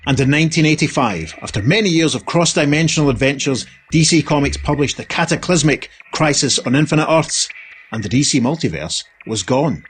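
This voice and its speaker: Quick-talking man reading a scripted promo. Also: on the high side, expressive, fairly bright tone, slight background noise, low-quality recording. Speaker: podcast co-host.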